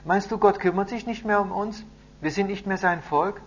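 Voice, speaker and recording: A man's voice: high-pitched, normal speed, pitched above the performer's own voice. A man voicing a curious, earnest little grandson with an eager, questioning manner. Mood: anxious. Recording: muffled audio with hum and noise.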